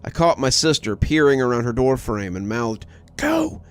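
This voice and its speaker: A man's voice: mid-range voice, moderate pace, smooth. A man reading a scripted first-person horror story as its narrator. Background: music.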